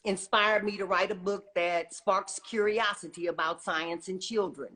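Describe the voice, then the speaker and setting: A woman's voice: medium pitch, steady tone, moderate pace, a bit bright. A woman in her sixties from Texas, speaking as a guest author telling her own story conversationally. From Texas, United States. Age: 60s. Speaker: guest author, retired science teacher.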